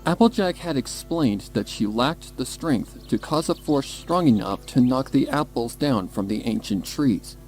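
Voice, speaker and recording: A man's voice: medium pitch, expressive, a little slow, hardly any pauses, a little mellow. A man reading a piece of fan fiction aloud as scripted story narration. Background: piano music.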